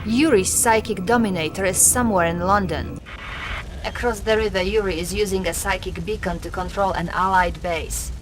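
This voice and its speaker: A woman's voice: mid-range voice, steady tone, normal speed, noisy background, close to an everyday voice. A woman playing a composed Soviet intelligence officer, formal and deferential as she briefs a commander in a video game. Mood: businesslike. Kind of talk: scripted game mission briefing.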